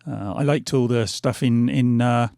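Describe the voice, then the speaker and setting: A man's voice: medium pitch, fairly even intonation, unhurried, gravelly, darkish tone, no room sound, clean studio sound. A middle-aged man losing his voice, rambling through casual gameplay commentary with lots of fillers and restarts. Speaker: gaming channel host. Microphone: headphones on, desk mic.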